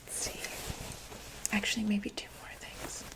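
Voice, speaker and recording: A woman whispering softly and breathily in a gentle, reassuring ASMR medical roleplay, speaking close to the microphone. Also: measured pace. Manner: gentle, reassuring, hesitant check-up patter. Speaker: ASMR roleplayer playing a doctor for monsters.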